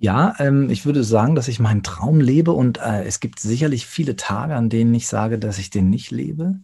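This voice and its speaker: A man speaking reflectively as an interview guest, thoughtful and often pausing to search for words. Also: medium pitch, lively intonation, gravelly, dark tone, husky. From Germany.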